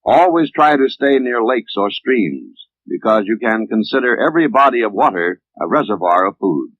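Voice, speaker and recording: An American man narrating a scripted 1950s instructional training film in a measured, didactic, formal manner, on an old film soundtrack. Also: fairly low pitch, lively intonation, dry recording. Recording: tinny, band-limited 1950s film soundtrack.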